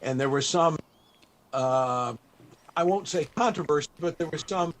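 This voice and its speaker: Male interviewer, somewhat high voice, feels his way gently into each question. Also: a little slow, a little hiss or hum. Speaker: interviewer, panel host. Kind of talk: conversational interviewing. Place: video call.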